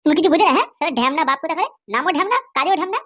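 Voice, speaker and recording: Someone doing a squeaky, cheeky cartoon son. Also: animated, racing, fairly bright tone. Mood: taunting. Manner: taunting, bossy. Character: cheeky, insolent, foul-mouthed grown-up son. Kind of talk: cartoon comedy dubbing.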